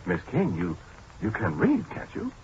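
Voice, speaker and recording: An actor playing a pompous, pedantic scientist who is easily flustered, in a 1940s radio drama. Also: male voice, on the low side, wildly swooping pitch, measured pace, a little mellow, exaggerated voice. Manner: pedantic, precise, lecturing. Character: pompous, flustered male scientist demonstrating a calculating machine. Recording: muffled, husky-sounding 1940s radio recording.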